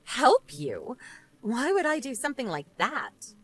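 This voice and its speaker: A woman's voice: sits high, wildly swooping pitch, a little slow, full character voice. A woman playing a smug, vengeful wife and former bullying victim in scripted story dialogue, taunting and sarcastic. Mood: dismissive.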